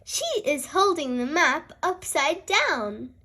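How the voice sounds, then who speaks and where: A young-sounding host reading a children's storybook aloud in a cheerful, expressive way, speaking directly to young listeners. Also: high voice, slow pace, slight background noise. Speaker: host and reader of a children's read-aloud show.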